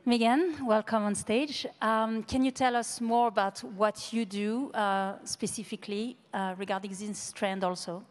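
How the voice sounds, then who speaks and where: A woman's voice: medium pitch, fairly even intonation, unhurried, few pauses. A woman moderating a conference panel, asking probing questions into a handheld mic. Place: large conference stage. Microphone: handheld microphone. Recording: long hall reverb.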